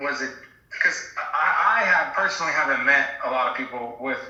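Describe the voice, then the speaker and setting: A man's voice: mid-range voice, normal speed, pushed and bright, very echoey, lo-fi sound. A man hosting a casual phone chat, asking questions in a hesitant, tentative way. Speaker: host interviewing an old acquaintance.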